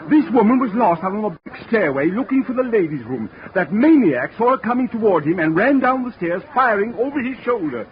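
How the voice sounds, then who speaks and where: An actor playing a flustered, blustering, money-minded store boss in 1940s radio drama style. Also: male voice, sits high, lively intonation, brisk, hardly any pauses, slight background noise. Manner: blustering, fretful. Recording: muffled 1940s radio recording.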